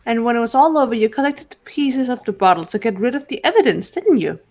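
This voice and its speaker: Woman as a calm, incisive young detective, fairly high. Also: fast talker, faint music behind. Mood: cool. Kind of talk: fan voice acting of a game.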